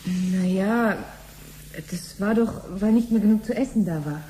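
An actress playing an unassuming, conciliatory middle-aged wife in a radio drama. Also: female voice, mid-range voice, a little slow, dark tone, natural voice. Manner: apologetic, unassuming, casual. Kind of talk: 1970s German radio drama acting. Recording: slightly noisy old radio drama recording.

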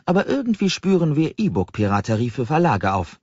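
A man's voice: medium pitch, big pitch swings, a little fast, darkish tone, voice pushed up. A man voicing a cheerful, welcoming doorkeeper of a secret library in an audiobook, friendly, amused and eager to explain. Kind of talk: audiobook character voice. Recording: slightly dull, roomy audiobook recording.

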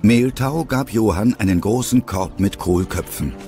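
A man's voice: fairly low pitch, a little slow, mellow, faint music behind. A man narrating an audio drama from a script.

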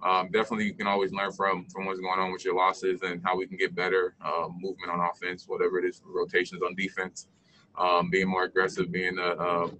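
A young man, a pro basketball player, answering post-game press questions over a video call, halting with many fillers. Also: low-pitched, pushed and bright, a little hiss or hum, rough recording. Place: indoor media room.

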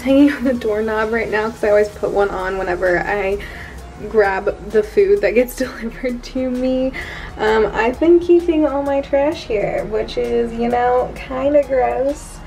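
Slow, chatty young woman vlogging. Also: a little high, poor audio. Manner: chatty, laughs often, relaxed and rambling. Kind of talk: casual vlog chat. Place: small quarantine apartment room, indoors. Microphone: camera on a desk, later handheld camera. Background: background music.

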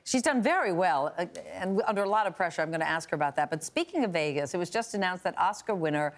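A woman's voice: lowish voice, animated, quick pace. A woman in her sixties hosting a daytime talk show panel in a TV studio, leading the chat and reading news items aloud. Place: TV studio with live audience. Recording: studio audience heard.

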